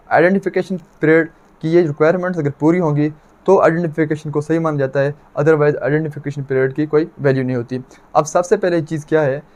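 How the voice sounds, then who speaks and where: A man with a Pakistani accent and a fairly high-pitched voice gives an explanatory law lecture. He teaches step by step, restating each point and putting questions that he then answers himself. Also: darkish tone. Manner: didactic, repeats points and asks himself questions.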